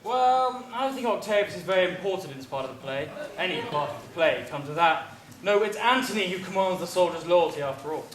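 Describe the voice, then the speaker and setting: A disdainful, pompous amateur actor, arguing scornfully in a high-pitched voice. The room is echoey, with people heard around him. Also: male voice, lively intonation, fast, crowd noise. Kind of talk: acted comedy drama dialogue.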